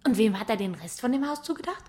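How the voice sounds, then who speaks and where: A woman's voice: on the high side, animated, fast, a little mellow, dry recording. An actress playing a flippant, scheming, greedy younger woman in a German radio crime drama, teasing and goading as she talks. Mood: teasing, curious.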